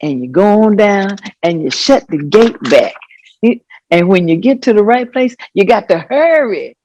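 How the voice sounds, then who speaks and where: Actress as old granny telling stories onstage, slowish and folksy, voice swings all over, dry close sound. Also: female voice, mid-range voice, slight background noise, natural voice. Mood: fond, musing. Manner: folksy, expressive, unhurried storytelling with exclamations. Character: elderly Southern grandmother reminiscing fondly and animatedly. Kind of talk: staged reading of oral history.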